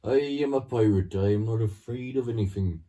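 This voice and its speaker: A man's voice: fairly low pitch, a little fast, warm tone, far from the mic, natural voice. A man playing a carefree, stubborn pirate who boasts in sing-song rhymes, in improvised comedy. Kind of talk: improvised comedy role-play.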